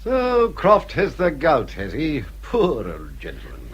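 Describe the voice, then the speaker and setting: An actor playing a vain, pompous older English gentleman, fussy and exclamatory, in a period radio drama. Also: a little high, huge pitch swings, slow, lo-fi sound, background noise, exaggerated voice. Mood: pompous, musing.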